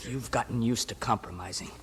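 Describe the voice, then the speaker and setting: An actor playing a defiant, hot-headed young rebel, impassioned and bitter, in old-time radio drama style. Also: male voice, mid-range voice, fairly quick, fairly bright tone, natural voice. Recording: vintage radio drama with background noise.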